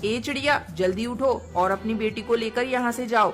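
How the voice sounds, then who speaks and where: A woman's voice: on the high side, moderate pace, natural voice. A woman voicing a haughty, greedy bird wife in a cartoon, curt and scolding. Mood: harsh, dismissive. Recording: music behind the voice.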